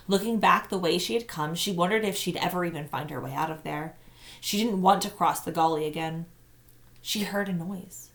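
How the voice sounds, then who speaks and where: A woman, a teacher, reading a children's novel aloud with expressive narration. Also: on the low side.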